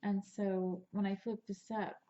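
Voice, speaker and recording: A woman's voice: medium pitch, flat delivery, moderate pace, mellow, dull audio. A woman casually showing off a handmade craft album, chatty and rambling, a little sniffly from allergies. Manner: chatty, rambling, with small laughs and restarts. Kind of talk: casual craft show-and-tell.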